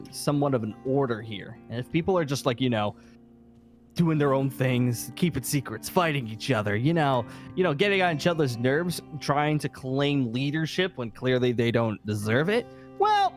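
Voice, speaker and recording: A man playing a weary, irritable survivor leader in an improvised zombie game roleplay, talking in a halting, rambling, emphatic way. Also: mid-range voice, sing-song, soft background music, natural voice. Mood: agitated, exasperated. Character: weary, irritable safe-haven leader secretly seeking a zombie cure.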